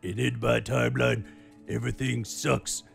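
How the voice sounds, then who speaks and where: A very gravelly, creaky bear man from a doomed future, stammers and rambles through a desperate warning over background music. He sounds gruff and urgent, like a comic character in a homemade skit. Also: medium pitch, flat delivery, moderate pace. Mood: urgent, flustered. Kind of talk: comic in-character recorded plea. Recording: music under the voice.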